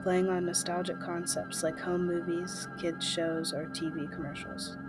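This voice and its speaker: A woman narrating a scripted video essay in a measured, explanatory way. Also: fairly low pitch. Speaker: narrator, presenter. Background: music.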